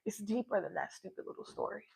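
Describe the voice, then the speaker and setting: A woman's voice: medium pitch, fast talker, creaky, darkish tone, husky, poor audio. A woman talking casually and reflectively to livestream viewers, rambling and emphatic, often checking that her listeners follow her. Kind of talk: casual personal livestream chat, reflective and motivational.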